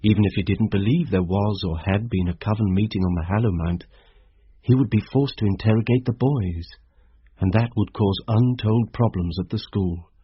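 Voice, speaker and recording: Reflective male first-person narrator, troubled and pondering, rough husky tone, slightly muffled recording. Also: lowish voice, moderate pace, no echo. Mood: worried, pondering. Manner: earnest, pondering. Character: troubled, reflective man narrating his private thoughts. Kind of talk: scripted first-person radio drama narration. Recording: dull, dry, close radio drama narration.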